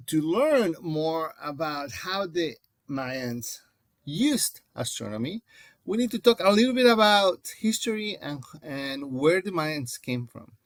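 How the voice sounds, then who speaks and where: A man's voice: a little high, no room sound. A man from Mexico with a Mexican accent and Spanish as his first language, giving an informal, explanatory lecture and addressing his class directly. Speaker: teacher.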